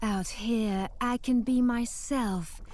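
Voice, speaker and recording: An actress voicing a calm, friendly witch who lives alone in the woods, in a game cutscene. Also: fairly high pitch, takes it slowly. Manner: calm, explaining. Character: young woman, a hermit witch, calm and friendly.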